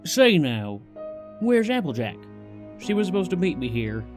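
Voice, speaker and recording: A man's voice: high voice, sing-song, unhurried, faint music behind. A man voicing a friendly young male cowboy pony in a fan audio drama, with a polite, folksy drawl.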